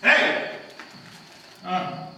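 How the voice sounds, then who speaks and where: A man's voice: extremely slow, sharp tone, heavily acted. A man playing a stuffy, suspicious, jealous suitor in a live old-time radio play, sputtering with indignation. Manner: blustering, sputtering. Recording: echoey live stage, distant mic, faint noise.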